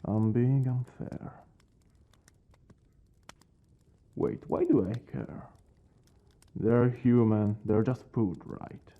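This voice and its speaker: A man acting a brooding vampire lord, aloof yet secretly caring, in a soft, breathy ASMR roleplay that often drops toward a whisper. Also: medium pitch, steady tone, slow, phone-quality sound. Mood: conflicted musing. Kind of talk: ASMR roleplay, intimate dramatic monologue.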